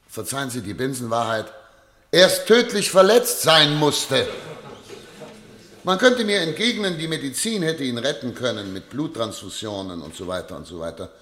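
An actor playing an eloquent, pompous former prosecutor, now a judge, in a German radio play, speaking in deliberate rhetorical phrases. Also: male voice, on the high side, gravelly, fairly bright tone.

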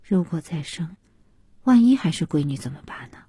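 Audiobook role: plain-spoken village woman acted by a female reader, quietly resolute, soft-toned and husky. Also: sits low, sing-song, normal speed, no echo, full character voice. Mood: subdued, frank. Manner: rustic, frank, subdued. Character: Xiaoxia, plain-spoken divorced rural mother, subdued and resolute.

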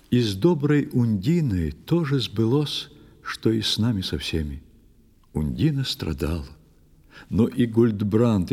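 Deep, husky, expressive male storyteller. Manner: theatrical, intimate address to the reader. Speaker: storyteller narrator. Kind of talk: scripted poetic fairy-tale narration.

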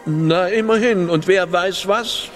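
A man's voice: sits high, slow. An actor playing a fussy, nervous and pompous mouse king in a children's radio play. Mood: impatient, commanding. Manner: pompous, exasperated, theatrical. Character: fussy, nervous, self-important mouse king, easily overwhelmed father. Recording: hall reverb, music underneath.

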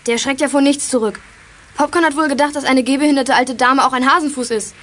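A young-sounding female performer playing an excitable, indignant girl detective in a children's audio drama. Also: high-pitched, quick pace. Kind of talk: German children's radio play acting.

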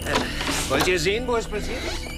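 A man's voice: high-pitched, expressive, normal speed, over loud music. An actor playing a sleazy, boastful man with a morbid streak in a German radio crime drama, leering and giggly. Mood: gleeful, boastful.

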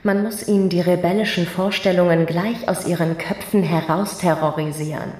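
An actress playing a ruthless, contemptuous security official in a radio drama, cold, menacing and sure of herself. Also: female voice, lowish voice, steady tone, normal speed, darkish tone, natural voice. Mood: cold, righteous. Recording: roomy, echoing studio acoustic.

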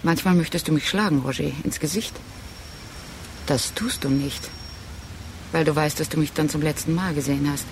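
A woman's voice: low-pitched, normal speed, long pauses, darkish tone, close to an everyday voice. An actress in a literary radio drama playing a cool, resolute intellectual woman parting from her lover in terse, matter-of-fact statements. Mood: detached. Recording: faint noise behind the voice.

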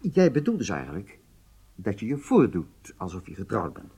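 An actor playing an agitated, businesslike intelligence handler in a radio drama, with halting delivery and emphatic outbursts. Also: male voice, lowish voice, wildly swooping pitch, dark tone, breathy edge, full character voice. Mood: stunned, exasperated.

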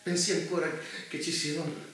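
An actor playing an embittered, unhinged man with political power, in intense stage style, halting and repeating himself with explosive force. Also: a little high, flat delivery, natural voice. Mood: guarded, probing. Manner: halting, repeating words, erupting into shouts. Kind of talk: intense theatrical stage acting. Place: theatre stage, picked up from afar. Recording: very echoey, distant, noisy theatre recording.

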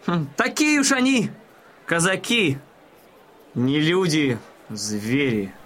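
An actor playing a smug, patronizing gentleman who explains things in a mannered way in an audio drama. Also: a little high, very animated, slow, husky, full character voice. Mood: smug, callous. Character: smug, condescending gentleman spectator courting a young lady.

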